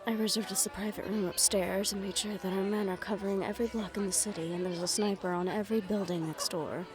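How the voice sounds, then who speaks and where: A woman's voice: mid-range voice, fairly even intonation, quick pace, music in the background, crowd noise, natural voice. Casting: female actor as composed, nervy right-hand woman to a crime boss, intimate audio drama delivery. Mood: businesslike. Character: poised, anxious female right-hand assistant to mafia boss. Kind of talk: intimate audio roleplay acting.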